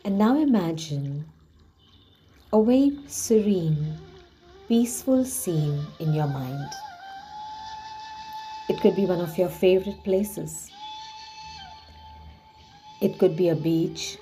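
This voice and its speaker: A woman leading a guided relaxation visualization in a soothing, hushed manner with gently drawn-out phrasing. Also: lowish voice, expressive, rough recording. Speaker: guided meditation host. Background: background music.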